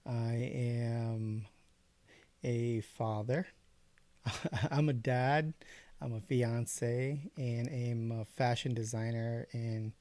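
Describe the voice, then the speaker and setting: Slow-talking man in his late thirties. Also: medium pitch, no room sound. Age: 30s. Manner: relaxed, agreeable, short replies. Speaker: podcast co-host. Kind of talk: casual chat.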